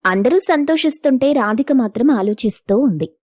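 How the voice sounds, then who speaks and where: A woman's voice: sits high, expressive, moderate pace, a little mellow, no echo, studio quality. A woman storyteller reading a scripted moral story as narrator.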